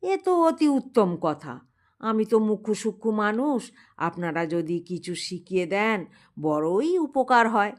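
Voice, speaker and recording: A humble village barber and folk healer played by a woman narrator in a storybook tale. He is eager and deferential, speaking at an unhurried pace. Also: medium pitch, very animated.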